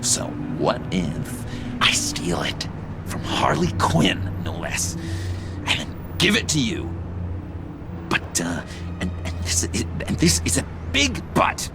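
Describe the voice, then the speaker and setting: Game role: fawning, unhinged young male oddball, theatrical and stammering, hugely animated pitch with gravelly breaks. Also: slow, exaggerated voice. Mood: eager, scheming. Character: excitable, fawning, nervous young male oddball superfan with a manic laugh. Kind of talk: video game voice acting. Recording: music behind the voice.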